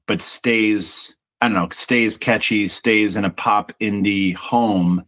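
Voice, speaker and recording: Casting: baritone male musician, casual reflective interview talk, low-pitched and easygoing. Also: fairly bright tone. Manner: reflective, restarts with small repeats, chatty. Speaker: band singer and songwriter.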